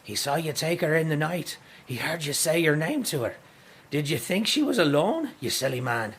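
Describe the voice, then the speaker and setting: A man's voice: a little high, sing-song, normal speed, a bit bright, breathy edge, heavily acted. An actor playing a nervous, grumbling Old townsman in a western comedy audio drama. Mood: scolding. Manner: fretful, scolding, old-fashioned phrasing. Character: nervous, grumbling frontier town informant Milky.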